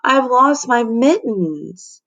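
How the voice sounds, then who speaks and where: A whiny fourth-grade girl from a storybook, as a woman reader plays her, anxious and dramatic. Her voice wails and sniffles in a lifted pitch. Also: expressive, slow pace, a bit bright, full character voice. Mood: wailing.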